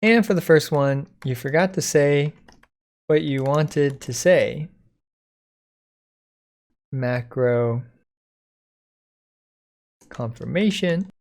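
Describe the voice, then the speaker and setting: Guy doing a coding walkthrough, creaky voice, informal, kinda thinking out loud while he types. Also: a little high, extremely slow, long pauses. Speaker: software tutorial instructor. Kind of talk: casual code-along screencast narration.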